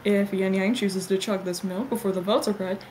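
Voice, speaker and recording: A woman's voice: mid-range voice, steady tone, brisk, a little mellow. A woman performing an irreverent, giggly, improvised cartoon fandub. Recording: clearly noisy home recording.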